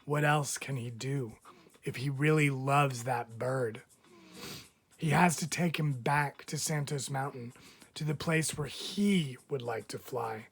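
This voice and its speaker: A man reading a picture book aloud as a teacher would, expressively, with deliberate dramatic phrasing and frequent pauses. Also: mid-range voice, vocal fry, husky. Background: background music.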